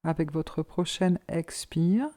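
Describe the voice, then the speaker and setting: A woman leading a guided yoga relaxation as an instructor, in a calm, measured, soothing manner. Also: on the low side.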